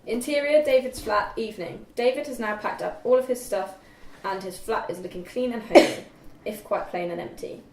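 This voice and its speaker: A woman's voice: fairly high pitch, moderate pace. A young woman reading out screenplay stage directions as scripted narration. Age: young adult. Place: indoor room at a group read-through. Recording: echoey room, distant mic, faint noise.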